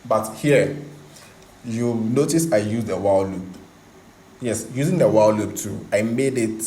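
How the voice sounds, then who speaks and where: A man hosting a tutorial, explaining in a casual, conversational, halting way and often repeating himself. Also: on the low side, dark tone. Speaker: host of a coding tutorial channel. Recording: roomy echo, faint background noise.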